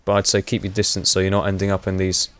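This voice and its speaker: A young man giving casual, rambling commentary over gameplay, with many fillers and frequent laughs, his voice getting hoarse. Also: low voice, completely flat delivery, fast, fairly bright tone. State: voice going hoarse. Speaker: gaming commentator.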